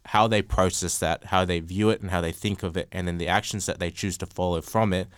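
A young man hosting a podcast panel, speaking in a measured way with frequent fillers and everyday analogies. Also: deep voice, fairly even intonation, clean tone, no room sound. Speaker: podcast host. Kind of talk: casual panel discussion.

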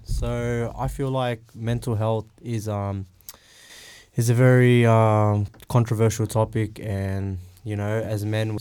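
Smooth, mellow young man. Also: lowish voice. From Australia. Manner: relaxed, keeps saying "you know". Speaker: podcast guest. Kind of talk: casual panel discussion.

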